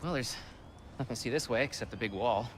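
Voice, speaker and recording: A man's voice: mid-range voice, fast talker, a little hiss or hum, close to an everyday voice. A man voicing a chatty, nosy male refugee in a video game cutscene, with music behind. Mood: excitable. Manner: chatty, nosy, swings between excitement and doubt. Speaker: game character.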